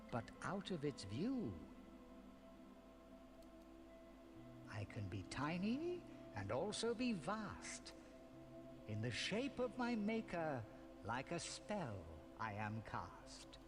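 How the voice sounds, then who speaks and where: An actor playing a sly, smugly courteous riddle-setting villain in a fantasy video game, with a theatrical, teasing delivery. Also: male voice, fairly high pitch, wildly swooping pitch, takes it slowly, over music, full character voice. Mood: mysterious, savoring.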